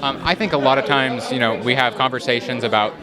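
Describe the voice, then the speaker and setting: A man's voice: medium pitch, quick pace, poor audio. A man casually and politely asking questions as an interviewer. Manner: attentive, polite, frequent backchannels. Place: convention in San Diego. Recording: crowd chatter around the voice. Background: clear crowd noise.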